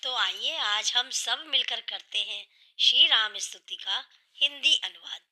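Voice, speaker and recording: A woman with a sore, hoarse throat, earnestly explaining a devotional hymn line by line and patiently restating each meaning. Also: fairly high pitch, a little slow, sharp tone. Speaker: devotional singer and channel host.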